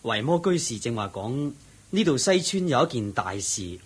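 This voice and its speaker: A man acting in a radio drama as a cheerful, respectful Buddhist monk with an animated, exclamatory manner. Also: mid-range voice, moderate pace, husky. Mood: friendly, curious.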